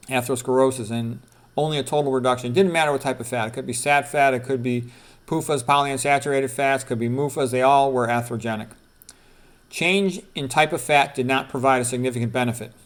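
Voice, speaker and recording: A middle-aged or older man, a doctor, reading quotes aloud with informal commentary and repeating key phrases for emphasis. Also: mid-range voice. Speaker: doctor presenting quotes from a book.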